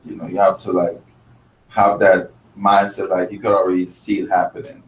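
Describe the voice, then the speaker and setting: A man's voice: low-pitched, level tone, moderate pace. A man from Grenada and Trinidad, a chef and restaurant owner, talking earnestly in an interview with many restarts. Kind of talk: casual interview talk. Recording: roomy, distant mic, faint noise.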